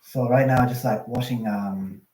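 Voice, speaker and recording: A man chats easily on a video call, filling his talk with "like" and "you know". His voice sits at a middling pitch. It echoes a little in the room through a phone mic. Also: a little slow. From Thailand. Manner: relaxed, chatty, many fillers like "like" and "you know". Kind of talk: casual video call chat. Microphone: phone on a video call, no external mic. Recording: roomy video call audio.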